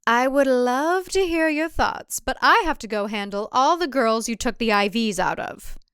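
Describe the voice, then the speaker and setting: A woman's voice: a little high, normal speed, fairly bright tone, clean tone, no room sound, clean studio sound, pitched above the performer's own voice, lightly acted. A woman voicing a domineering, irritable mother who runs a cult, with a curt, scolding manner. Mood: curt, annoyed.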